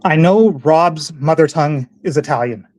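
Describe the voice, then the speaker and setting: A male guest in casual chat, deadpan and precise, teasing dryly. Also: a little high, normal speed.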